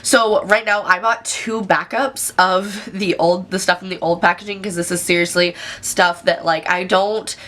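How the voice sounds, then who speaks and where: A woman's voice: on the low side, steady tone, normal speed, hardly any pauses, a bit bright. A young woman in her twenties with a stuffy, nasal voice from a cold, chatting enthusiastically through a casual makeup review. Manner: chatty, enthusiastic, many fillers. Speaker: beauty vlogger.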